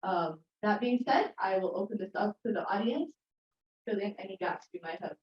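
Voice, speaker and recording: A woman reporting a group's conclusions to a summit audience in a steady, summarizing manner. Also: mid-range voice, normal speed. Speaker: panel rapporteur. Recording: echoey room heard from a distance, lo-fi.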